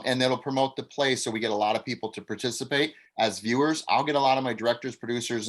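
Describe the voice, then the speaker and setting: A man's voice: mid-range voice, fairly bright tone, rough recording. A man leads a casual meeting on a video call as an acting coach. He sounds encouraging and gives directions to his group, with a mid-range voice.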